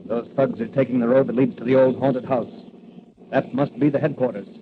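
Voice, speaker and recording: An actor playing a bold, wisecracking masked crime fighter in an old-time radio adventure serial, with a punchy, confident, declamatory delivery. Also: male voice, mid-range voice, steady tone, brisk, noisy background, close to an everyday voice. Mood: resolute. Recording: tinny vintage radio recording.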